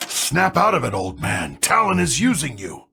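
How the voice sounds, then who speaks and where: A veteran soldier from a video game. His voice is extremely raspy and gravelly, with forceful swings in pitch. He is gruff and impatient. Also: wildly swooping pitch, moderate pace, exaggerated voice. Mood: gruff, urgent. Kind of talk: video game character voice lines.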